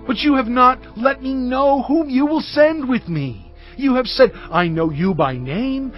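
An actor playing Moses in a dramatized audio Bible, speaking in an earnest, pleading, drawn-out way. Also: male voice, high-pitched, exaggerated voice. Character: Moses, pleading humble leader, adult man. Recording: slightly dull audio with music bed.

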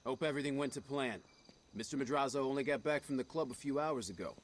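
A man performs a rich family's dutiful aide for a game cutscene. His medium-pitched voice is polite and understated. There is faint background noise. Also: fast talker, lightly acted. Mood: friendly. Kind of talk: video game cutscene acting.

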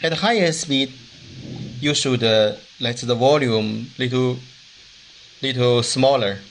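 A man giving an informal demonstration of a hand-made device in halting, non-native English. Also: mid-range voice, slight background noise, low-quality recording.

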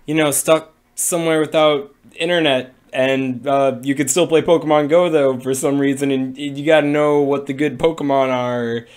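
A young man with a cold-raspy, hoarse voice, talking casually and rambling with many fillers on a livestream from a small room into a webcam. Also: medium pitch, fairly even intonation, moderate pace, hardly any pauses. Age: young adult. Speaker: streamer. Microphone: webcam at desk.

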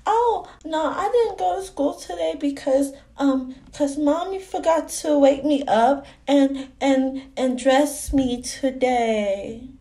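A woman's voice: high voice, slow, hardly any pauses, warm tone. A woman voicing an innocent, chatty little girl who tattles in rambling, naive childlike talk for a comedy skit. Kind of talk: comedy skit phone calls.

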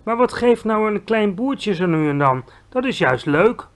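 A polite, patient little girl, as a male storyteller puts her on, asking her questions calmly and courteously. The voice sits high for a man. A read-aloud storybook voice. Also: a little slow, full character voice.

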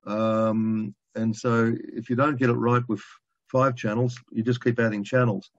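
A man in his sixties giving an informal technical presentation over a video call from a room at home, speaking in a halting, colloquial, conversational way. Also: mid-range voice, little pitch movement. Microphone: video call, computer microphone. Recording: dull lo-fi video call audio.

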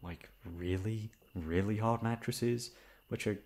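A man playing a shy, flustered, gentle young classmate in an ASMR roleplay, hesitant and stammering. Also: lowish voice, slow pace. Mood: sleepy, casual. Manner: hesitant, stammering, soft-spoken.

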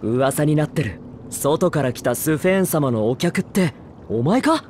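A rough-spoken rabbit-eared townsman in a video game. He talks slowly and bluntly, drawing out his hesitations with a very lively pitch. Some ambient noise sits behind the voice. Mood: surprised, friendly. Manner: blunt, slangy, drawn-out hesitations. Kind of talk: Japanese video game voice acting.

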